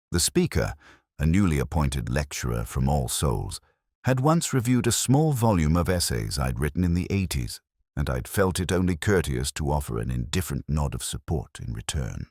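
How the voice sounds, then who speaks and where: A man playing an ageing, weary police detective, narrating an audio drama in a measured, literary, wry and reflective way. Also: rumbling low, dry recording, clean studio sound, heavily acted.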